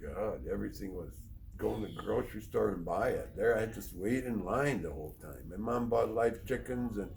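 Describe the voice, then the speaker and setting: A middle-aged or older man from Poland, sharing personal testimony in a plain-spoken, halting, rambling way as a recovery speaker. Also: fairly low pitch, animated, gravelly, darkish tone. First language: Polish. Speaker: recovery speaker, treatment counselor.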